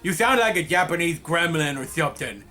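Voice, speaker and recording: A hurried blue character who blusters his way through lines and lapses into caveman talk, played by a man. His voice sits high and sounds bright and forceful. Faint music runs behind him. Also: animated. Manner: rushed, blustering.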